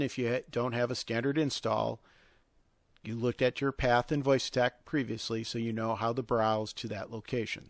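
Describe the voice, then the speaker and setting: Gravelly man giving a software walkthrough. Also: medium pitch, dry recording. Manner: step-by-step, instructional. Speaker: tutorial host. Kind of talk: informal software tutorial walkthrough.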